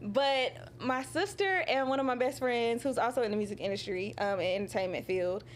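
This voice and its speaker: Animated woman singer chatting, lively pitch. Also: a little hiss or hum. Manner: animated, laughs often, acts out her own quotes; drawn-out fillers like "you know" and "um". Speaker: singer-songwriter guest. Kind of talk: casual interview chat.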